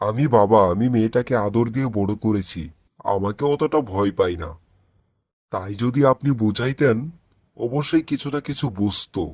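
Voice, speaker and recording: A man's voice: lowish voice, moderate pace, a little mellow, dry recording, natural voice. A man as an earnest village cartoon father. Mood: worried, respectful. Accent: Bangladeshi. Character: worried, mild-mannered village father seeking advice. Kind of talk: cartoon moral story dialogue.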